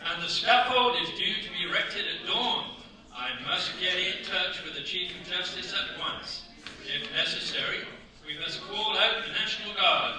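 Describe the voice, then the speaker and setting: A man's voice: high-pitched, animated, normal speed, crowd noise. A man playing a stern, commanding official in a staged radio play, declaiming formally. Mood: commanding, alarmed. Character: stern, commanding French judge and prison governor. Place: theatre stage before a mic. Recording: very echoey hall, distant mic, noise.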